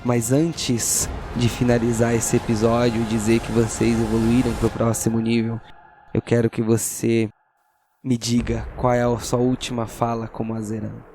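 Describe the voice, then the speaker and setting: A man's voice: mid-range voice, monotone, moderate pace, background noise. A man with a Brazilian accent, narrating a tabletop role-playing audio drama as game master.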